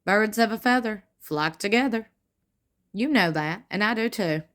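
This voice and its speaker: A woman, commenting on a reality show, reading fan posts aloud and adding wry asides while sitting in a squeaky chair at home. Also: animated, moderate pace, a bit bright. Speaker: commentary vlogger.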